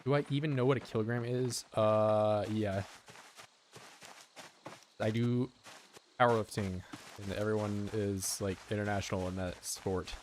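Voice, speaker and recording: A man's voice: on the low side, steady tone, a little slow, long pauses, darkish tone, slight background noise. A young man streaming a survival game, rambling and joking with his chat in a casual way. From United States. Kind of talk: casual gameplay commentary.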